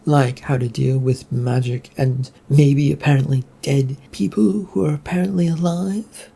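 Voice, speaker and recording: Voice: medium pitch, steady tone, unhurried, vocal fry, warm tone, slightly rough. A storyteller voicing a headstrong, suspicious young princess who is blunt and argumentative. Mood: sarcastic.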